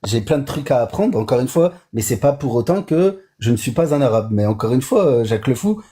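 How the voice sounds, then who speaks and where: A man's voice: mid-range voice, big pitch swings, fairly quick, dark tone. A man born in Europe in an online voice debate, conciliatory and hesitant, often repeating his words.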